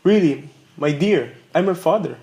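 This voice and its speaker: A man's voice: a little high, animated, normal speed, dark tone. Lighter-voiced, courteous older father. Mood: puzzled, paternal. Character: kindly, courteous, wistful elderly father. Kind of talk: radio play acting.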